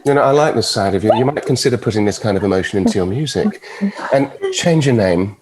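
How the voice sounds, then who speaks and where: An actor with a British accent playing a suave, arrogant devil in a script table read. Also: male voice, fairly low pitch, animated, fast, crowd noise, lightly acted. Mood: wry. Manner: urbane, sardonic. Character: suave, arrogant male devil with dry wit.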